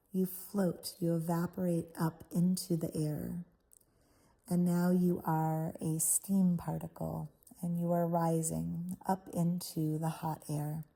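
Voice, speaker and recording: A woman with a very mellow, relaxed voice pitched a little low leads a guided visualization. She speaks soothingly in short phrases. Pauses between them give the delivery an unhurried feel. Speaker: guided meditation host. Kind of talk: guided meditation, soft guided visualization.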